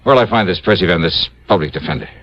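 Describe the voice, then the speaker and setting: A wry, skeptical private investigator in a vintage radio mystery. His low voice is clipped yet lively in its swings, on a dull old recording. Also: very fast. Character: shrewd, skeptical, wisecracking male insurance investigator. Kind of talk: 1950s radio detective drama acting.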